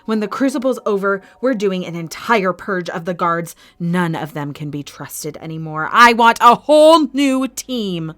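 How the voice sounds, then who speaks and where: A woman's voice: medium pitch, expressive, measured pace, few pauses, a bit bright. A woman acting as a calculating, ruthless fight-arena master in an audio drama, speaking in a formal, clipped, authoritative way. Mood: cold, commanding. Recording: music bed under the voice.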